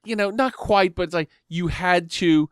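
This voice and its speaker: A man's voice: high voice, normal speed, smooth, no room sound, clean studio sound. A man co-hosting a show, chatting in an informal, jokey way as a fan. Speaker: podcast co-host. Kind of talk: casual fan banter.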